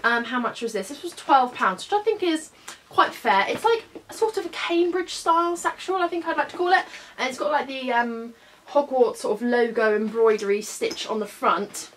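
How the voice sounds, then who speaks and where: A young woman, slightly hoarse from a cold, chatting casually and upbeat through a shopping haul vlog, full of fillers. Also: high voice, lively intonation, normal speed, a little hiss or hum. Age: young adult. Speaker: beauty vlogger.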